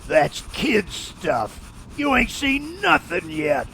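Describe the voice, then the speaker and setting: A man's voice: sits high, huge pitch swings, slow, gravelly, pushed and bright, slight background noise, full character voice. A man voicing a cocky, menacing street-tough supervillain in a comic dub. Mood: taunting. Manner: taunting, street-tough wording. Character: cocky male supervillain thug, taunting and menacing. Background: music.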